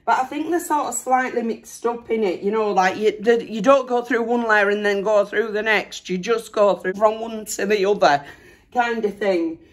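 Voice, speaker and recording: A middle-aged woman talking casually into a camera at home. Her voice is hoarse and a bit rough from a recent illness. She rambles chattily and sounds excited and self-deprecating. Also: medium pitch, normal speed. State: hoarse, recovering from lost voice. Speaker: vlogger. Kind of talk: casual chat. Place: bedroom at home, indoors.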